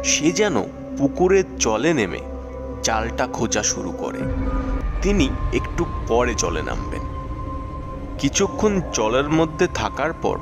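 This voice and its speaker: A man narrates a ghost story with suspense, in short measured phrases. His mid-pitched voice rises and falls in a very animated way. Music plays clearly behind him. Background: background music.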